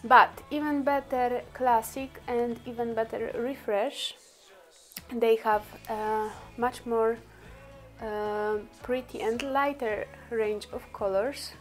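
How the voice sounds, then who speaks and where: A woman's voice: fairly high pitch, steady tone, slow pace, soft background music. A woman whose first language is Polish, chatting through a makeup tutorial with many fillers and laughs. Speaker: beauty vlogger.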